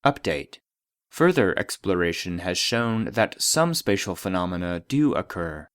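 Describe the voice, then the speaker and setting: A man voicing an impersonal database, reading formal classified reports in a measured, clinical manner. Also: low-pitched, sing-song, fairly bright tone, dry recording, clean studio sound, full character voice. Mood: clinical, detached. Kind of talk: scripted narration of a formal report.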